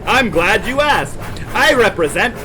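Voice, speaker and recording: A man's voice: high voice, sing-song, measured pace, noisy background. A man playing a slick, opportunistic Old West travelling salesman in an audio drama, with florid, wheedling patter. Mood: eager pitch.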